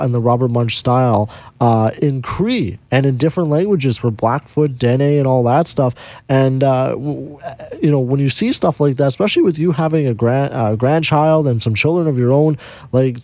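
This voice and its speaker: Mellow-voiced male host, dry sound. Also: medium pitch, dry recording. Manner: hesitant, stumbling questions. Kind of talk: conversational interview.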